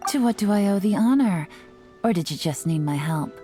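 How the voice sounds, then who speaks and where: An actress playing a cool, sly young woman intelligence agent in a video game, composed and teasing in a knowing, unhurried way. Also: mid-range voice, expressive, dark tone, lightly acted. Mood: teasing, playful. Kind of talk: video game character voice lines. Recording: studio voice over background music. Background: music.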